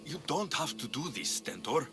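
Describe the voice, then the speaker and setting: An actor playing a self-assured, defiant ancient Greek mercenary in a video game drama, speaking in a firm, wry, challenging way. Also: male voice, on the high side, very animated, moderate pace. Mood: urgent, pleading. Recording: loud music underneath.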